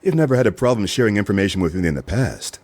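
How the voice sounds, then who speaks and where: An actor playing a weary, suspicious private detective in a noir radio play, with a dry, sardonic deadpan manner. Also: male voice, fairly low pitch, rapid-fire, dry recording. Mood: earnest, persuasive.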